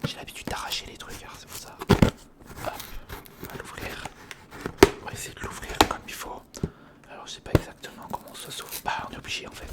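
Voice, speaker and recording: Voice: a little fast, long pauses. Whispering ASMR creator, close and intimate. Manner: whispering, addressing the viewer intimately and informally. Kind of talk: ASMR, whispered narration of a toy unboxing and build. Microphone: sensitive recorder microphone, close.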